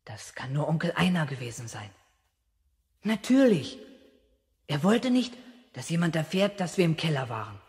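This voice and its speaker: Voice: medium pitch, very animated, moderate pace, long pauses, darkish tone, slightly rough. A young performer playing an eager, self-important boy detective in a 1970s German children's radio play, earnestly reasoning aloud. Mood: secretive musing. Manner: earnest, deductive, musing aloud.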